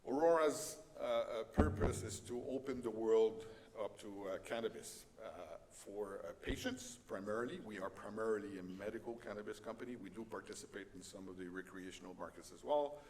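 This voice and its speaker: A middle-aged man with a French Canadian accent gives a conference talk in a fairly low voice. He pauses often on fillers and jokes at his own expense. The sound carries a long hall reverb with faint background noise. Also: a little mellow. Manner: halting, many fillers, light self-deprecating humor. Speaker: conference speaker. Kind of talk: conference presentation.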